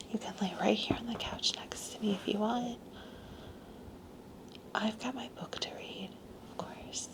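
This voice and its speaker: A woman playing a gentle, doting mother in an ASMR comfort roleplay, whispering slowly and soothingly with repeated reassurances. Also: medium pitch, low-quality recording. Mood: soft, caring. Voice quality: breathy whisper. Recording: music behind the whisper. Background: music.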